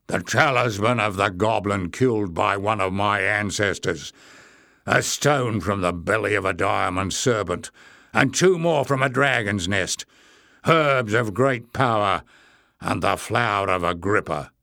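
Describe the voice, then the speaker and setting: A man's voice: on the low side, measured pace, vocal fry, sharp tone, no echo, clean studio sound, exaggerated voice. A man voicing a huge, belligerent, boastful warrior in a fantasy story, growling and blustering. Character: hulking savage male warrior, boastful, belligerent, slow-witted.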